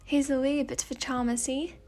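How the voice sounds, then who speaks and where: A woman's voice: sits high, level tone, a little fast, darkish tone, soft background music, natural voice. A woman voicing a playful, pleading spirit in a mirror, with a British accent and a theatrical, whiny manner, in a story reading.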